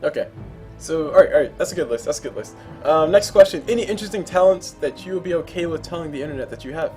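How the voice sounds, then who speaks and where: A man's voice: a little high, expressive, quick pace, darkish tone, faint music behind. A man hosting a casual interview, chatty and joking, laughing often. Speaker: interview host.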